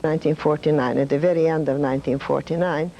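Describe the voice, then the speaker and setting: Older Hungarian-accented woman, retired professor, gravelly, measured reminiscing. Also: low-pitched, steady tone, highs cut off, a little hiss or hum. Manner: measured, with fillers and soft laughs. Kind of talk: reflective storytelling.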